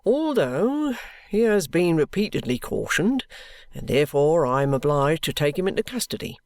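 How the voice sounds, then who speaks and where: A reader voicing a stern, unyielding police constable who speaks slowly and firmly. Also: medium pitch, sing-song, darkish tone, no echo. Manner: firm, slow and weighty.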